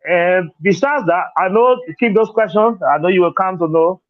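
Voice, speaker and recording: A man's voice: sits high, moderate pace, no room sound. A man with a Liberian accent answering interview questions as a political candidate, earnest and repetitive, often saying "you know". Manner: earnest, repeats words, leans on "you know". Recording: tinny dry phone line.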